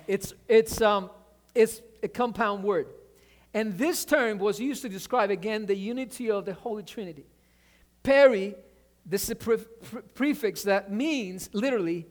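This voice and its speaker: A man whose first language is Spanish, preaching a sermon with energy, often restarting words and asking for an amen. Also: high voice. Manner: animated preaching, repeats words, asks amen. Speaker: pastor.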